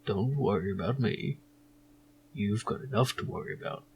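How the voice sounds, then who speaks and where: A man voicing a cryptic, serene stranger in an adventure game, speaking in a slow, hushed, enigmatic way. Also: lowish voice, muffled recording, a little hiss or hum, lightly acted.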